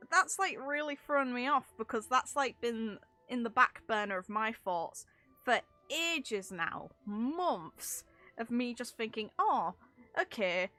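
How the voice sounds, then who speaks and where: A woman's voice: a little high, sharp tone. A woman streaming a game with casual live commentary, rambling as she thinks aloud and swearing mildly.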